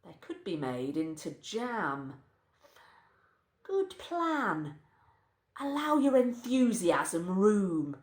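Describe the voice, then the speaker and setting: Animated, very slow woman reading a story, roomy. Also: mid-range voice. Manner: wry, animated, deliberate pauses between short phrases. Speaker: reader of a short story. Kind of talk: expressive live story reading. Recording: roomy, echoing sound.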